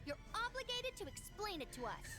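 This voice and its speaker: A woman's voice: extremely high pitch, very animated, a little fast. A woman voicing a pushy, sassy teenage girl in an anime-style video game, flippant and insistent, over music. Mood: insistent, probing. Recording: loud game music underneath.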